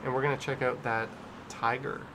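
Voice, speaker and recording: A man hosts a live stream in an easygoing, conversational way, moving between commentary and reading viewers' messages aloud. His voice sits at an average pitch, with some faint ambient noise behind it. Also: flat delivery, normal speed. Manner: chatty, informal, reads out viewer messages. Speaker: livestream host.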